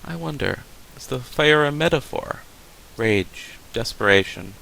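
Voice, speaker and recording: An actor playing a calm, probing male doctor in a video game, measured and questioning as he counsels a patient. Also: medium pitch, creaky, exaggerated voice, rough recording. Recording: faint steady hiss.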